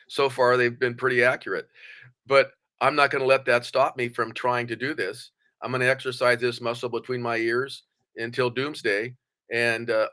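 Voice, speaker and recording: Older male guest, blunt and plain-spoken, with a bright, punchy tone. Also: medium pitch. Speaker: podcast guest. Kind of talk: conversational interview.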